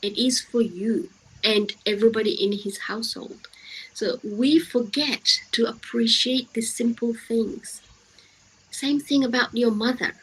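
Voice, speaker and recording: A woman's voice: a little high, steady tone, unhurried, a bit bright, breathy edge, low-quality recording. A woman giving a motivational talk to young people, speaking emphatically with repeated phrases and rhetorical questions. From grew up in the Western world, of Indian heritage.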